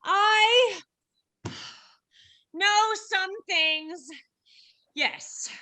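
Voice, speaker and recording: A woman playing a very high, bright, sardonic young-sounding lady. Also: extremely slow, exaggerated voice. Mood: resigned. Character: cool, dry-witted female chip label artist. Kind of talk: comedy script table reading. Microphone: video call.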